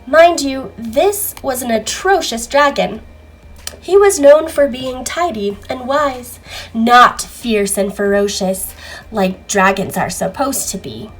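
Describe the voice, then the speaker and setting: A woman reading a children's book aloud in an expressive, deliberate, theatrical storytelling manner. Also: on the high side, poor audio.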